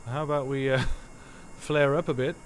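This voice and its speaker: Dutch-native guy streaming a game, rambling and correcting himself, mid-pitched, noisy stream audio. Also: steady tone, normal speed. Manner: rambling, self-correcting, chatty. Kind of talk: live gameplay commentary.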